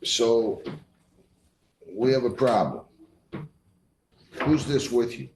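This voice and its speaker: A man's voice: mid-range voice, lively intonation, slow. A man speaking as a judge in court, questioning and admonishing firmly, often cutting in and repeating himself. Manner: stern, firm, interrupts and repeats himself. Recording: echoey courtroom, faint background noise.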